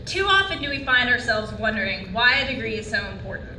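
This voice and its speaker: A woman's voice: on the high side, big pitch swings, fast talker, bright, forceful tone, a little hiss or hum. A young woman delivering an earnest commencement speech from a lectern on an auditorium stage, asking the audience questions. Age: young adult. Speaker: graduation speaker. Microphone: lectern microphone. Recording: echoey hall from far off.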